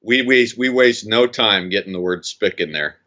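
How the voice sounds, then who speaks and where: Wry lower-voiced podcast co-host, lo-fi. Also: male voice, expressive, normal speed, bright, forceful tone. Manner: dry, wry quips. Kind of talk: casual film review chat.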